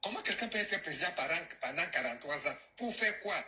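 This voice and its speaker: A man's voice: fairly high pitch, expressive, fast, sharp tone. A Cameroonian man ranting about politics, mocking and scornful, and mixing in local-language phrases. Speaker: caller, diaspora activist. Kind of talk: heated political rant.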